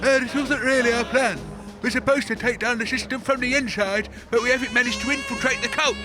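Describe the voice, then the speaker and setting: Man playing a sheepish, high-voiced protester. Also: steady tone, fairly quick, over music. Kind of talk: comedy audio drama acting.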